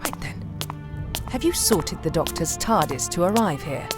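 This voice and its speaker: A woman's voice: on the low side, very animated, a little slow, music in the background. A woman playing a grave noblewoman in authority in a fan audio drama, formal and earnest. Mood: grave, resolute.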